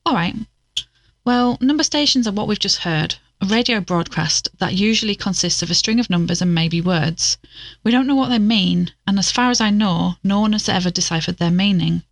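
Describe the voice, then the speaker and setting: An actress as low-voiced chatty female investigator. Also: moderate pace, dry recording, close to an everyday voice. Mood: conversational, curious. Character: female amateur investigator, podcast co-host and friend. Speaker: podcast co-host. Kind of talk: scripted audio drama, conversational podcast.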